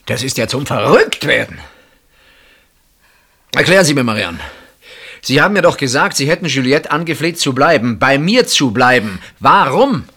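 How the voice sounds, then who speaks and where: A man's voice: medium pitch, very animated, moderate pace, pauses often, sharp tone, husky, heavily acted. An actor in a German radio play, playing a suspicious husband who confronts his sister-in-law with insistent, dramatic questioning. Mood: agitated, accusing.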